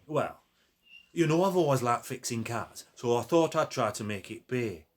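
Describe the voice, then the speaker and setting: A man's voice: medium pitch, animated, moderate pace, close to an everyday voice. An actor with a Northern English accent playing a loyal, wry former pitman in a naturalistic radio play, plain-spoken and dryly humorous. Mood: wry, matter-of-fact.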